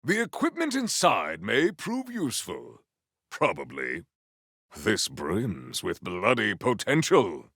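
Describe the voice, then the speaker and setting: Casting: zealous video game warrior, rough raspy forceful voice, grandiose archaic delivery, slow and declamatory. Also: male voice, lowish voice, huge pitch swings, no room sound, studio quality, exaggerated voice. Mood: solemn, musing. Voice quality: gravelly, forceful. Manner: theatrical, archaic, declamatory battle cries. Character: bombastic, righteous warrior on a holy quest, zealous and grandiose. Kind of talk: video game combat voice lines.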